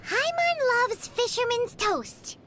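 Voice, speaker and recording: A woman voicing a tiny, childish, cheeky fairy companion in a video game, with a squeaky voice. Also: expressive, slow pace, sharp tone, slight background noise, exaggerated voice. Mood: chatty. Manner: refers to self in third person, whiny, exclaiming. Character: tiny floating fairy girl companion, childish, cheeky, greedy, food-loving. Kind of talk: video game character acting.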